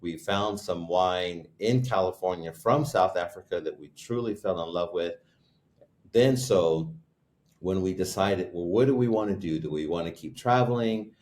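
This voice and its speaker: A man with a very deep voice recounts how his business began, in a loose, conversational way. He searches for words and corrects himself as he goes. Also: moderate pace, darkish tone. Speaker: guest, wine retreat co-host. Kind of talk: casual chat, storytelling.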